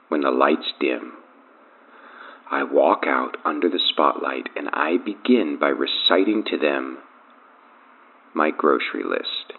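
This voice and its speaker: A thin phone line carries an arrogant, menacing cult leader from an audio drama. His voice sits extremely low and is husky, and he speaks in a measured, grandiose, taunting way. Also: heavily acted. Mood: smug, musing. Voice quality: deep, gravelly, husky.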